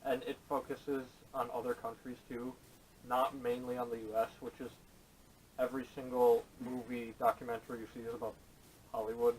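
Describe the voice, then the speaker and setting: A man of average pitch chats casually and gives his opinions about movies as a podcast co-host. He is picked up from a distance by a camera microphone, so the sound is rough with a little noise underneath. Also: animated, fairly quick, a little mellow. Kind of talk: casual opinionated film chat. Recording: poor camera audio, distant and noisy.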